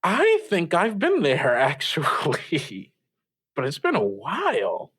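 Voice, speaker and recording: A man plays an eager high-school radio host in an audio drama. His pitch jumps up and down widely as he stammers along, polite and awkward, quick to get flustered. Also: huge pitch swings, fairly bright tone, breathy edge, full character voice. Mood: flustered, delighted. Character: eager, awkward teenage boy hosting a late-night radio show. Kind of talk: improvised audio drama as radio call-in show.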